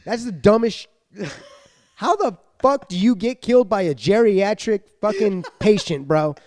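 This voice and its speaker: A man co-hosting a casual wrestling podcast with his son, ranting in an animated way and swearing freely. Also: high-pitched, moderate pace, a little hiss or hum. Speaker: podcast co-host, father. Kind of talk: casual chat.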